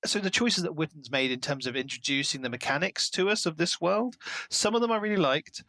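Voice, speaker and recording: A man's voice: fairly high pitch, expressive, a little fast, bright, forceful tone, no echo. A man co-hosting a podcast, discussing books in a casual, conversational way. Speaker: podcast co-host.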